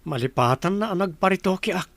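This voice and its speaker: A man's voice: high voice, lively intonation, fast, a bit bright, no echo. A man acting a respectful, eager young grandson about to marry in a radio comedy drama.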